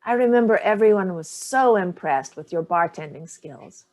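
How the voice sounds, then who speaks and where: A woman playing a sharp-tongued older mother. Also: lowish voice, expressive, normal speed, lightly acted. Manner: dry, cutting. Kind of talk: staged play reading.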